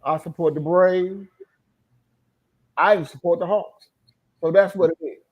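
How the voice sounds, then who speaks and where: A man's voice: high-pitched, steady tone, normal speed, slightly rough, muffled recording. A man from North Carolina, a guest on a live stream, telling playful stories in a casual, boastful way. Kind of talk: casual storytelling.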